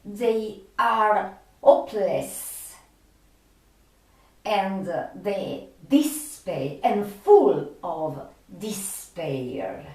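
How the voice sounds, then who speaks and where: Very slow-talking woman. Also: medium pitch, sing-song, a little mellow. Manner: halting, with long pauses and frequent hesitations. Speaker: video host. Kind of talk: informal explanatory commentary, retelling film dialogue. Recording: echoey room, distant microphone.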